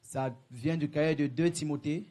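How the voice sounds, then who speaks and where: A man interpreting a sermon live, echoing each phrase quickly with frequent self-corrections. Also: fairly high pitch, fairly even intonation, mellow. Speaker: church interpreter.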